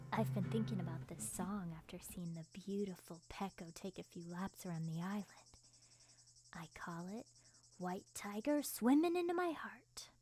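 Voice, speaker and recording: A woman's voice: medium pitch, dark tone, lightly acted. A woman playing a quirky, playful young punk musician, slangy and teasing, in an ASMR roleplay. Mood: dreamy teasing.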